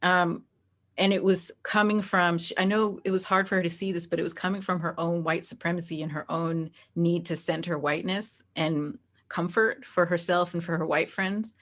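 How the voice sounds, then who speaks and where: A woman's voice: on the low side, fairly even intonation, normal speed, fairly bright tone. A woman speaking as an interview guest in a candid, reflective and informal conversational way.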